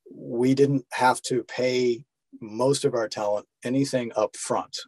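A man's voice: mid-range voice, no echo, poor audio. A middle-aged actor, a man, explaining things earnestly and informally in a casual interview. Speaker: podcast guest, actor and director.

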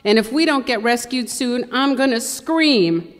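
A woman's voice: on the high side, measured pace, close to an everyday voice. A woman playing an easily exasperated, theatrical stranded tourist in a radio skit. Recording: echoey hall.

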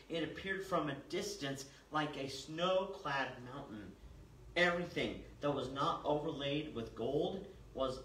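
An older man preaching a sermon as a pastor, reading scripture and explaining it in a measured, emphatic way. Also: fairly high pitch, sharp tone. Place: small church sanctuary, indoors. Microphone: far camera. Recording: roomy, distant mic, faint noise.

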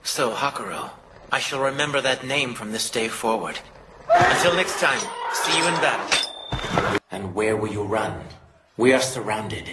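A man's voice: mid-range voice, animated, moderate pace, roomy sound, heavy background noise, natural voice. A man playing a grave samurai warrior in an anime dub, speaking formally and theatrically. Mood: bold.

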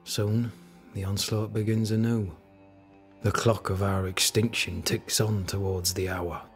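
An actor playing a despairing, fatalistic fort captain in a video game, speaking in formal, doom-laden phrases with bitter grandeur. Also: male voice, on the low side, level tone, faint music behind, natural voice. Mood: grim, doom-laden. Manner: slow, grim, doom-laden formal speech. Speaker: military officer character in a video game. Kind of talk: dramatic video game acting.

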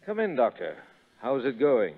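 An actor playing an earnest, concerned army major speaking in a measured way in a 1930s radio drama. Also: male voice, medium pitch, huge pitch swings. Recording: muffled 1930s radio broadcast.

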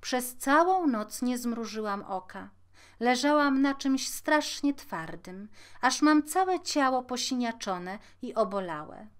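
A woman's voice: a little high, lively intonation, slow pace, voice pushed up. A woman voicing a delicate young princess who complains plaintively and emphatically in a storybook. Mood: aggrieved complaint.

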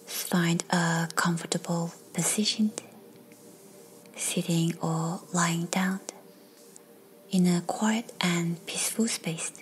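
A woman softly narrating a scripted guided meditation in a breathy, near-whispered, soothing delivery. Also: lowish voice, fairly even intonation, takes it slowly, pauses often, slight background noise, low-quality recording. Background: music.

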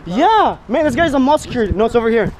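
A man, vlogging casually in an excitable, slangy, profane way. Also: very high voice, fast talker, poor audio. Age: 20s. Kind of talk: casual vlog chat to camera and friends. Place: big store and parking lot at night. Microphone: action camera at arm's length.

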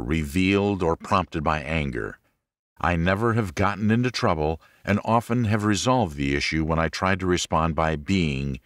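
A man reading a scripted audiobook in a measured, deliberate, instructive way. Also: sits low, a bit bright, no echo, studio quality. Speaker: audiobook narrator. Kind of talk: scripted audiobook narration of religious self-help.

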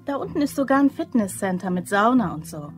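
A woman's voice: medium pitch, big pitch swings, a little fast, soft background music, natural voice. An actress playing a chatty, curious young woman in a German crime radio drama. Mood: playful, curious.

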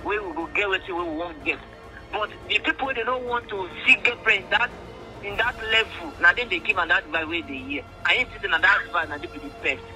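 A man's voice: sits high, fast, sharp tone. A man with a Nigerian accent talking earnestly in a mix of English and Pidgin, pleading and emphatic, often repeating his points. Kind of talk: earnest phone conversation in mixed English and Pidgin. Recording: phone call with music behind.

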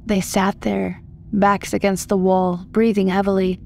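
Woman, horror story narrator, scripted reading, medium pitch, noticeable background ambience. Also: flat delivery, a little slow, creaky, a little mellow, a little hiss or hum. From United States.

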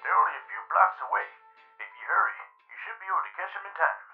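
A man's voice: fairly high pitch, big pitch swings, quick pace, vocal fry. A man voicing a helpful, eager older uncle in a cartoon-style comic dub. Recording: dull radio-like sound, music behind.

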